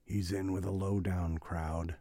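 A man's voice: low voice, flat delivery, a little slow, dark tone, dry recording. A man voicing an angry, scornful Irish father in an audiobook novel, indignant and emphatic. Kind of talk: audiobook character dialogue.

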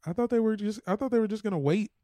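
Higher-voiced American man, joking around. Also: rapid-fire, warm tone, no room sound. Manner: slangy, joking, sweary. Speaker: podcast co-host. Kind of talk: casual comedic banter.

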